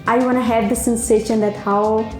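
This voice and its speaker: A woman's voice: fairly high pitch, flat delivery. Pakistani woman, reflective, roomy sound. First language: Urdu. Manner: reflective, unhurried, with pauses and fillers. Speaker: PhD researcher. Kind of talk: personal documentary narration. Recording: roomy with music underneath.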